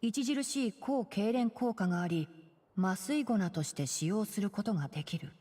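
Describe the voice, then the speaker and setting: A bookish young male botanist played by a woman from a video game, speaking in a long reverb. The delivery is quick, precise and mildly exasperated, with lively intonation. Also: mid-range voice, lightly acted. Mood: studious. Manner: precise, matter-of-fact, gently scolding. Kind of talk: Japanese video game voice acting.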